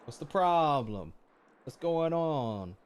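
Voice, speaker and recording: A man's voice: fairly high pitch, lively intonation, slow, smooth. A man reacting to a game on a live stream, casual, joking and slangy. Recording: faint game music behind.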